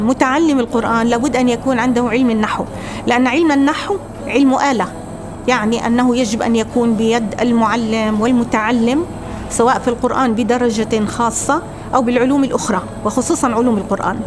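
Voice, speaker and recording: A woman's voice: on the high side, steady tone, very fast, noisy background. A woman Arabic teacher explaining her subject in a documentary interview.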